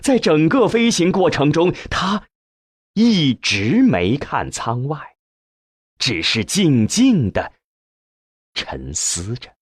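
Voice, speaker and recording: Slow, expressive husky male audiobook narrator. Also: on the high side, dry recording, clean studio sound. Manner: measured, deliberate phrasing. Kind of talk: scripted audiobook narration, dramatic storytelling.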